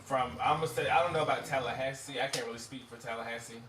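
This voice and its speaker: A man's voice: medium pitch, fast talker, a bit bright. A man with a Florida accent chatting casually in a group, often mimicking other people's voices when he tells a story. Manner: chatty, imitates voices when telling stories. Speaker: reality show contestant. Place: shared house indoors. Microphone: ceiling surveillance mic. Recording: echoey room, distant mic, background noise.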